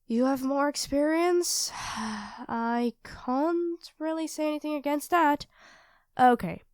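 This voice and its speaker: A woman's voice: sits high, slow pace, no echo, studio quality. A woman playing a sweet, self-doubting young girlfriend in an intimate ASMR roleplay, speaking hesitantly and earnestly to her partner. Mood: caring, helpful. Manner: hesitant, soft-spoken, earnest, addressing a partner directly.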